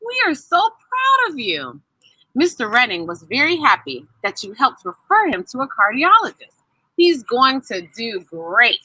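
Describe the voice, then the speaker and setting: Woman reading as proud, high-voiced storybook mom. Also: wildly swooping pitch, measured pace, bright, forceful tone, breathy edge, no room sound, full character voice, rough recording. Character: proud, encouraging mother praising her children. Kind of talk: children's storybook read-aloud.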